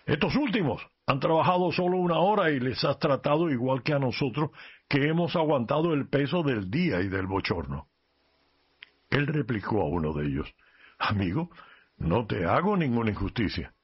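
A man who is a priest, reading a gospel passage and explaining it in a calm, measured way on a radio program. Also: medium pitch, husky, dull audio.

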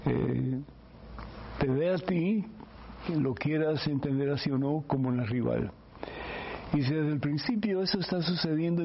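A man's voice: medium pitch, gravelly. A man, a priest hosting a radio program, preaching and then giving earnest, pastoral advice to a listener with many fillers. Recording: muffled radio broadcast with faint hiss.

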